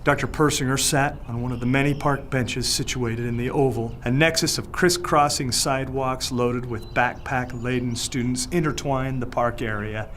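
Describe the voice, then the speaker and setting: A man reading his own thriller story aloud, in a measured, even storytelling manner. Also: mid-range voice, slight background noise. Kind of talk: scripted narration of a thriller audiobook.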